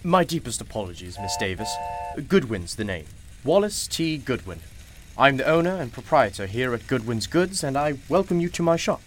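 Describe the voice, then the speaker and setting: A man's voice: mid-range voice, big pitch swings, moderate pace, slight background noise, close to an everyday voice. A man playing a genial, mysterious old-fashioned shopkeeper in a radio play, with formal, courtly and unflappable phrasing. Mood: proud, courtly.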